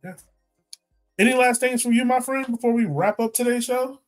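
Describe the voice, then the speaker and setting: A man's voice: extremely high pitch, moderate pace. Male podcast host, casual sports chat, informal and conversational, light music bed underneath. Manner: informal, frequently checks in with "right" and "like".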